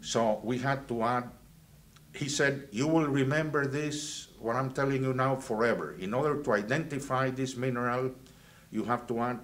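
A man, from Venezuela and raised in Guatemala, recalling his student years in a halting way with many fillers and restarts. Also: medium pitch. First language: Spanish. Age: 70s. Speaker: alumnus interviewee. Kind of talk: reminiscing interview. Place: indoors, plain backdrop.

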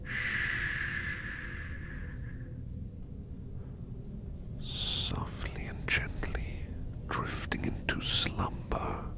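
A man playing a tender, protective butler servant in a roleplay ASMR, whispering breathily and gently with a gravelly edge to soothe the listener. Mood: soothing, protective. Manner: soothing, lingering, gentle delivery.

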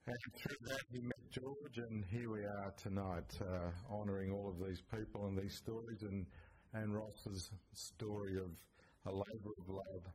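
A middle-aged man giving a public tribute speech at a lectern, halting and repeating words. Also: on the low side, steady tone, darkish tone, a little hiss or hum, low-quality recording. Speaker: event speaker. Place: at a lectern on an event stage. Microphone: lectern microphone.

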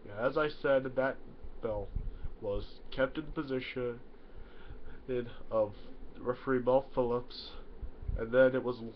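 A man's voice: medium pitch, fairly even intonation, unhurried, pauses often. A young man with a stuffy nose from a cold, talking casually to camera in a small bedroom and rambling with many fillers. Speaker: YouTuber. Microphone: webcam in front of him. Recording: dull lo-fi webcam audio, faint hiss.

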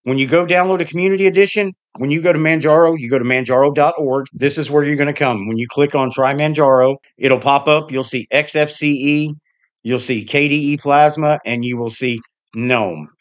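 A man hosting a tech channel, casually narrating a screen recording as he clicks through menus and settings in a relaxed, conversational way. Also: on the high side, a little fast. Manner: relaxed, conversational, plain-spoken explaining. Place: voice-over for a desktop screen recording.

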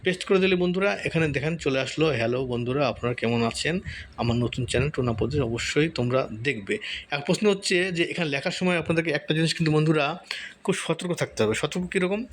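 A man's voice: fairly high pitch, fairly bright tone. A man hosting an informal step-by-step tutorial, explaining things in a repetitive way with frequent tag words. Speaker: tutorial host. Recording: clearly audible background noise.

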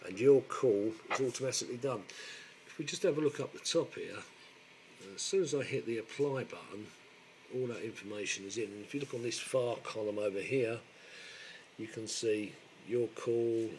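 A man gives an informal software tutorial, explaining step by step in a halting way. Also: medium pitch. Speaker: software demonstrator. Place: indoor room, filming a computer screen. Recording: noisy amateur camera microphone recording.

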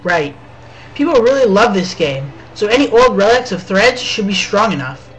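A woman's voice: medium pitch, sing-song, normal speed, faint music behind, natural voice, low-quality recording. A woman playing an earnest, nerdy team member in an amateur comedy radio drama, explaining things matter-of-factly.

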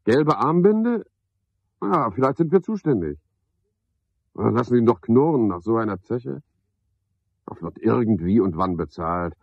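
An actor playing a garrulous, pompous, sardonic porter at a boarding house, with a husky voice, in a radio play monologue. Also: male voice, medium pitch, big pitch swings, unhurried, pauses often, no room sound, lo-fi sound, full character voice. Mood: officious, wry. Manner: rambling, pompous monologue with mocking asides. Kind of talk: 1970s German radio play acting.

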